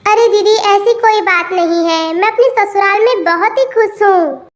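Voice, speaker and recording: A woman's voice: very high voice, moderate pace, dull audio, voice pushed up. A woman voicing a sweet, contented poor younger sister in a cartoon tale, earnest and affectionate. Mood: hurt, earnest.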